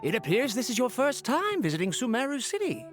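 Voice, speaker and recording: A woman's voice: fairly high pitch, huge pitch swings, fairly quick, over loud music. A woman playing a courteous, official city gatekeeper in a video game, speaking in a formal, polite, explanatory way.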